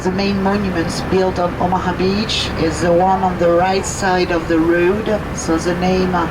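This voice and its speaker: A woman from Normandy with a French accent, giving a chatty guided tour and stopping to explain terms. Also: mid-range voice. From Normandy, France. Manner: chatty, explains terms, asks listeners to check they follow. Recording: distant voice with noisy vehicle background.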